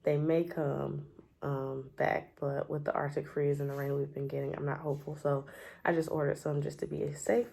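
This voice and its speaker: A woman's voice: low-pitched, flat delivery, moderate pace, gravelly, dark tone. A woman with a stuffy, scratchy voice from a cold, chatting casually at her table at home, with frequent ums as she shows her things. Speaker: homestead gardening vlogger. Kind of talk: casual chatty show-and-tell.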